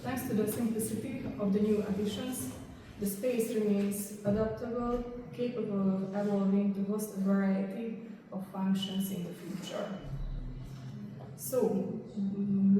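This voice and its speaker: A young woman with a Hungarian accent, a native Hungarian speaker, reading her conference presentation in English with many halting pauses. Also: medium pitch, dark tone. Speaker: guest lecturer. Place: large lecture hall. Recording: echoey hall from far off, background noise.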